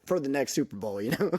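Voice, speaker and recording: Casual male podcast guest, mid pitch, dry close sound, animated and chatty. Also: no room sound. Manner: animated, laughs often, many fillers like "dude". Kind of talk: casual chat.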